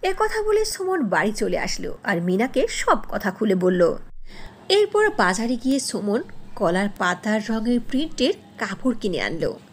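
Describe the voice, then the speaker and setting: A woman's voice: on the high side, slight background noise. A woman narrator reading a scripted fairy tale for a cartoon.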